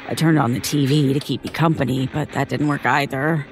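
An actress playing a shaken woman who haltingly recounts a traumatic childhood ordeal in an audio drama monologue. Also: rumbling low, fairly even intonation, slight background noise. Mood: fearful, upset. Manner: halting, haunted storytelling. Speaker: interviewee.

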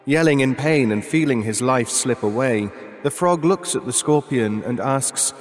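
A man acts as a solemn storyteller of dark fables. His voice sits at a middle pitch and rings in a long reverb. Faint music plays behind the measured, dramatic narration. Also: natural voice. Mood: grave, measured. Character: grave male storyteller telling a dark fable.

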